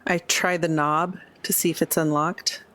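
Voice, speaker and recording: A woman's voice: low-pitched, little pitch movement, a little slow, fairly bright tone, dry recording. A woman chatting casually as a player in a tabletop roleplaying game.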